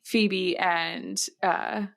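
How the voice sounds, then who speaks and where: A woman co-hosting a podcast in casual conversation, pausing often mid-sentence. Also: fairly low pitch, steady tone, vocal fry, fairly bright tone. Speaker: podcast co-host.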